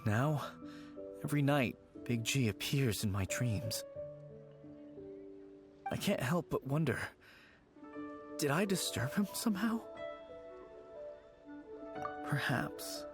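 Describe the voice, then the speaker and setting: A man voicing an anxious young man haunted by a lost childhood friend, speaking in a halting, worried, soft-spoken way, in game audio. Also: medium pitch, lively intonation. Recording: game audio with background music.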